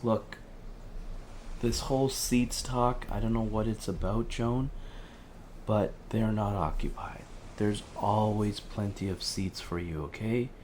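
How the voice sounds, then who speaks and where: A man's voice: fairly low pitch, steady tone, measured pace, darkish tone, slight background noise, close to an everyday voice. A man acting an empathetic, insistent helpline counsellor in an audio drama, pleading reassuringly and often ending on little tag questions. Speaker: helpline host.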